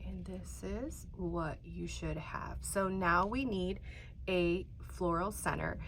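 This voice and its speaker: A woman's voice: fairly low pitch, steady tone, slow, fairly bright tone, slight background noise, rough recording. A woman hosting a casual paper craft tutorial, a bit congested, chatty and rambling, often dropping to a near-whisper while she works. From Texas. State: congested, stuffy-sounding.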